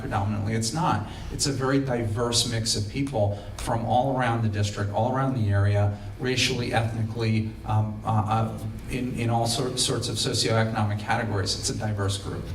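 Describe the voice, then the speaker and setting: A man who is a political candidate giving an off-the-cuff debate answer, with halting, hesitant phrasing. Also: mid-range voice. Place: college auditorium public debate. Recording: echoey hall, distant mic, background noise.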